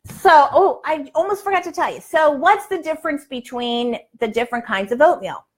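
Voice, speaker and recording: A woman's voice: high voice, moderate pace. A woman in her sixties hosting a casual livestream from her kitchen, chatty and folksy, given to exclaiming. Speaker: live cooking show host. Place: home kitchen, indoors. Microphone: lapel mic.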